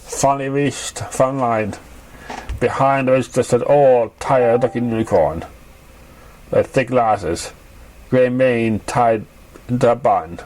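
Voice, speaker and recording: Male amateur storyteller, average pitch, reading scripted narrative prose. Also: takes it slowly, long pauses, a little mellow, a little hiss or hum, low-quality recording.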